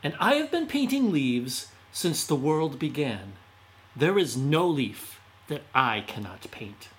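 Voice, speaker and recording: A man's voice: fairly high pitch, big pitch swings, takes it slowly, a bit bright, pitched above the performer's own voice, heavily acted. A man voicing old man Autumn, a proud spirit who paints leaves, in a testy, dismissive manner in a storybook reading. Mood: scornful.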